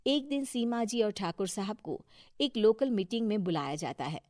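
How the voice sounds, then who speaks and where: A woman's voice: medium pitch, big pitch swings, moderate pace, darkish tone, no echo, studio quality. A woman narrating a scripted moral story for a cartoon video.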